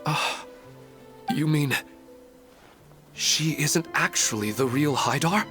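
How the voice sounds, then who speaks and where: A man's voice: a little high, lively intonation, slow pace, sharp tone, full character voice. An actor voicing a worried father searching for his missing daughter, talking anxiously, in game audio with music behind. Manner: anxious, urgent.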